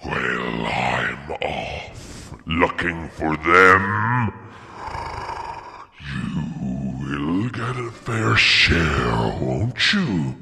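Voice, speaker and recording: An immense, ancient storybook dragon, menacing and sly. The voice is gravelly and forceful, booming through long cavernous reverb. It taunts its prey in drawn-out phrases. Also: male voice, very animated, heavily acted. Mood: sly insinuation. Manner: slow, drawn-out, taunting delivery. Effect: deep cavernous reverb effect.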